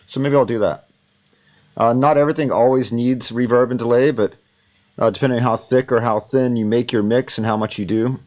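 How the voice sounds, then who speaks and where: A man teaching audio mixing, thinking aloud in a relaxed, rambling way with many fillers. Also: mid-range voice, a little fast. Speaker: audio instructor.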